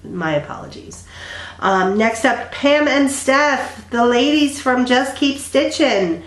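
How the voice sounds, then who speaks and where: A woman's voice: high-pitched, animated, takes it slowly. A woman in her thirties, stuffy and congested, chatting casually to her viewers with lots of ums and restarts. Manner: rambling, many ums and restarts. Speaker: flosstube vlogger. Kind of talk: casual chat to camera. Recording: echoey room.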